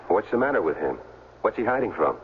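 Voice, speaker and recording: A man's voice: on the low side, sing-song, brisk, slight background noise. An actor playing a wary, stubborn down-on-his-luck ex-athlete in a 1970s radio drama, plain-spoken and hard-boiled. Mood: wary, skeptical. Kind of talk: 1970s radio drama dialogue and first-person narration. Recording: tinny vintage radio broadcast, music underneath.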